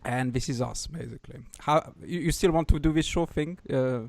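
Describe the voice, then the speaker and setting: A French man speaking English with a French accent, hosting a podcast in a rambling, excitable way and swearing freely. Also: fairly high pitch, brisk, vocal fry, dry recording, clean studio sound. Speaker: podcast host. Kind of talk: casual podcast chat.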